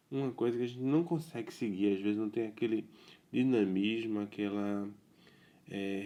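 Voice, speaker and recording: A man teaching English in a casual video lesson, explaining in a relaxed way and often checking in with his viewers. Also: on the low side. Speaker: self-taught English tutor.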